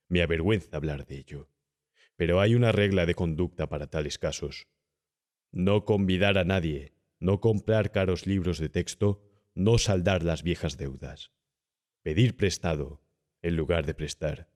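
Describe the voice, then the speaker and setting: A man voicing a cynical, calm, domineering young gentleman body snatcher who talks persuasively and condescendingly in an audiobook horror story. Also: deep voice, close to an everyday voice. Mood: calm, instructing. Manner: smooth-talking, persuasive, condescending.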